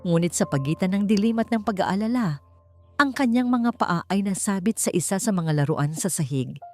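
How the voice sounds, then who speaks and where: A woman narrating a scripted story in a theatrical, suspenseful way with deliberate pauses. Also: mid-range voice, expressive, dry recording, soft background music. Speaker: audiobook narrator.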